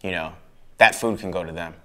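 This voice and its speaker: A man's voice: low voice, fairly quick, sharp tone. A young man, a chef, talking earnestly in a documentary interview in a living room with a lapel mic. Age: young adult. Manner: earnest, emphatic about sustainability.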